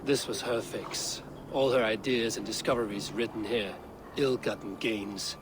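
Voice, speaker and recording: A man's voice: fairly low pitch, steady tone, a little slow, noisy background, lightly acted. A man playing a stern, principled Viking warrior in a video game, speaking in a grave, terse and resolute manner. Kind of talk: dramatic video game acting.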